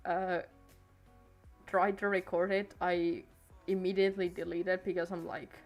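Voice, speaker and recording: A woman's voice: on the low side, flat delivery, unhurried, faint music behind. A teenage girl streaming from home on a desk microphone, chatting casually and hesitantly, trailing off and restarting her sentences. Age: late teens. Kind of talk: casual rambling stream chat.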